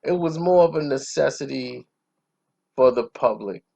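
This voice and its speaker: A man reviewing a film casually in a self-assured, emphatic way, breaking his phrases up with dramatic pauses. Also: medium pitch, gravelly, mellow. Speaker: movie reviewer.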